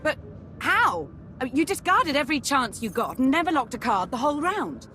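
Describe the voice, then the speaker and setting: An actress playing a proud, haughty Sith former intelligence chief in a video game, speaking precisely. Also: female voice, sits high, very animated, a little fast, pushed and bright, full character voice. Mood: indignant disbelief. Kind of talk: video game cutscene acting. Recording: music behind the dialogue.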